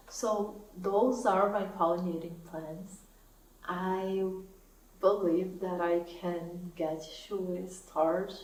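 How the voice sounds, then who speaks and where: A young woman speaks English, slowly and thoughtfully, in an easy vlog chat. She is recorded from a little way off the mic, in an echoey room with a faint hiss underneath. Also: mid-range voice, recorded from a distance. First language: Portuguese. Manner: soft-spoken, thoughtful, laughs often. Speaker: knitting vlogger. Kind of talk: casual chat to camera.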